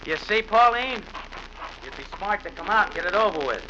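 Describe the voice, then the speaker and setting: An American actor playing a cold, unhinged wife-killer who confesses with jittery menace in a vintage radio thriller. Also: male voice, high-pitched, lively intonation, a little fast, a little hiss or hum. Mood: wild taunting. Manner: menacing, taunting endearments. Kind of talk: 1940s-50s radio thriller acting, first-person narration. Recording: muffled vintage radio recording, loud music behind.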